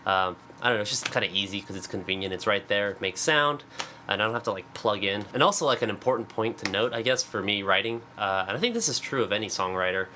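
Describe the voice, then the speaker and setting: A man's voice: fairly low pitch, quick pace, bright, forceful tone, slight background noise. A congested man with a cold, chatting casually and self-deprecatingly about songwriting with lots of fillers. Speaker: singer-songwriter. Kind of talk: casual vlog talk. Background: acoustic guitar.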